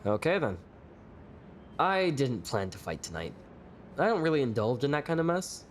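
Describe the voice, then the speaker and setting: A man's voice: medium pitch, big pitch swings, normal speed, slight background noise, lightly acted. An actor playing a sassy, hot-tempered young male bar performer in an audio drama, sarcastic, flippant and sweary. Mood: teasing banter.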